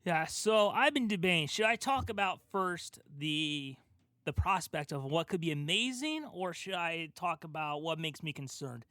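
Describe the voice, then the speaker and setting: Male podcast host, young adult, higher voice, emphatic explainer in casual discussion, close dry sound. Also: lively intonation, moderate pace, bright, forceful tone, no echo. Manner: explanatory, emphatic, talks through an argument step by step. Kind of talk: casual pop culture discussion.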